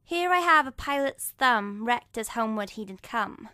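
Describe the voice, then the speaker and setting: A woman's voice: on the high side, animated, moderate pace, bright, forceful tone, smooth, no room sound, clean studio sound. A woman playing a vengeful witch in a dramatic Shakespeare reading, chanting rhymed curses and prophecies. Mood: gloating, chanting.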